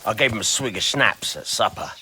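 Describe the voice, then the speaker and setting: An actor playing a crude, sneering mercenary in a video game, with a gravelly voice. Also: male voice, fairly low pitch, lively intonation, a little fast, pushed and bright, a little hiss or hum. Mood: wry. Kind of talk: video game cutscene acting.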